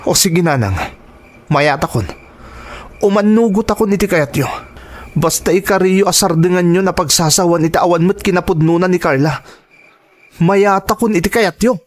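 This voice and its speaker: A man's voice: high-pitched, normal speed, pauses often, close to an everyday voice. A man playing a distressed, submissive Filipino son in a melodramatic audio drama, pleading and emotional. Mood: pleading, resigned. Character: distressed, submissive Filipino son who is held captive abroad.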